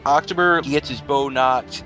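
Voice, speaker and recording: A man's voice: mid-range voice, measured pace, sharp tone, faint music behind. A man running a tabletop roleplaying game, narrating the scene as game master.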